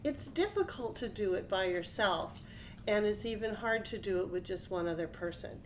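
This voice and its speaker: A woman's voice: mid-range voice, expressive, moderate pace, slight background noise. A middle-aged American woman presenting a craft demonstration for children, explaining step by step in simple phrases in a small room.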